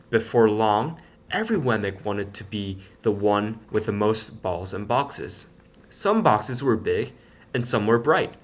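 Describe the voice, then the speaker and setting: A man reading a children's picture book aloud in an expressive, playful storytelling manner. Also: on the low side.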